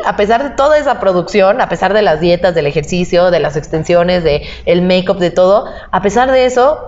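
A woman's voice: mid-range voice, lo-fi sound. A young woman with a Mexican accent chatting casually on a podcast, telling stories in a candid, slangy way. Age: young adult. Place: indoor podcast set at a table. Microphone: lapel mic.

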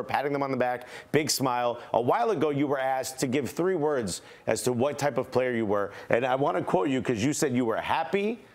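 A man co-hosting a studio football show, asking long, talkative questions with bits of laughing banter. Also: mid-range voice. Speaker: studio co-host.

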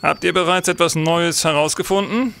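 An actor playing a brisk, authoritative police senator in a radio drama, curt and questioning. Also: male voice, on the high side, fairly even intonation, gravelly, sharp tone, soft background music.

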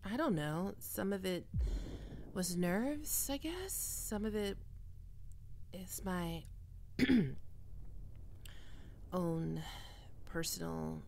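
A woman's voice: on the low side, animated, drawn-out words, creaky, long pauses, a little mellow, slight background noise, full character voice. A woman playing a defensive young half-vampire catgirl roommate in an ASMR roleplay, teasing and stammering with hesitant tsundere bluster. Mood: softly sincere, awkward.

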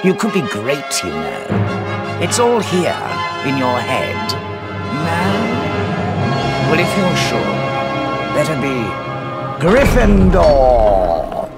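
Creaky, slow-musing magical hat. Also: male voice, on the high side, wildly swooping pitch, exaggerated voice. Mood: musing, probing. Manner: slow, pondering, theatrical. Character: ancient talking magic hat, musing and sly. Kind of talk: dramatic fantasy game acting. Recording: loud music over the voice.

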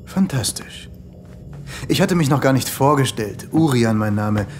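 An actor playing a suave, courteous and mysterious male visitor in a comedic sci-fi audio drama, flattering and presumptuous. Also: medium pitch, unhurried, soft background music, lightly acted. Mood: charming, flattering. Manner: smooth-talking, courteous, presumptuous.

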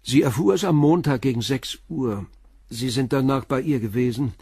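An actor in a German crime radio play playing a calm, persistent middle-aged police commissioner who questions in a measured, blunt way. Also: male voice, mid-range voice, breathy edge, no echo, clean studio sound. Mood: probing.

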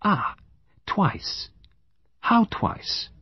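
A man voicing a meticulous, sly older male detective in an audiobook, with formal, deliberate foreign phrasing. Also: no echo, highs cut off. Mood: probing, courteous. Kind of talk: audiobook character voice.

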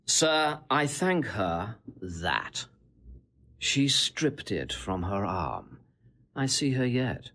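An actor playing a sly, boastful gentleman seducer in classical Shakespearean audio drama, insinuating and relishing every detail. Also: lowish voice, sing-song, drawn-out words, full character voice. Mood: mocking. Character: sly, boastful Italian gentleman, smooth-tongued seducer taunting a husband.

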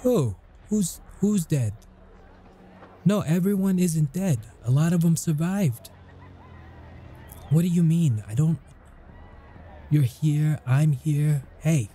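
An actor playing a grumpy but caring military intelligence interrogator in an ASMR audio roleplay, speaking in measured, halting phrases. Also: male voice, a little high, expressive, dark tone, breathy edge, heavily acted. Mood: concerned, soothing. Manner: measured, halting, deliberate delivery.

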